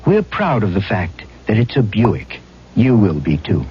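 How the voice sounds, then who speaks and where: Man, vintage ad announcer, low rough husky voice, dull radio sound. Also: big pitch swings, a little slow, a little hiss or hum. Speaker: car commercial announcer. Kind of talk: 1970s radio advertisement read. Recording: dull old radio recording.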